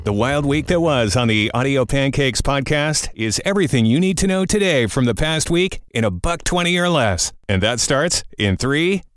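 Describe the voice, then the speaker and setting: A man's voice: mid-range voice, expressive, normal speed, dry recording, clean studio sound. A man hosting a radio-style morning show podcast, with a jokey, self-deprecating, upbeat delivery. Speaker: podcast host.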